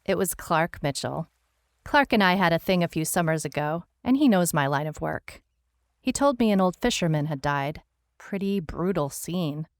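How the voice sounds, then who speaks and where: A woman playing a wry, world-weary private detective, narrating her case log in a dry, deadpan noir style. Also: lowish voice, normal speed, no room sound. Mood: dry, matter-of-fact. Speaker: narrator, lead actor.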